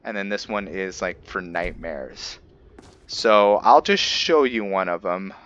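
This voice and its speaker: A man giving casual gameplay commentary as host, thinking aloud in a halting way. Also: low-pitched, lively intonation, fairly bright tone, lo-fi sound. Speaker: gaming video host.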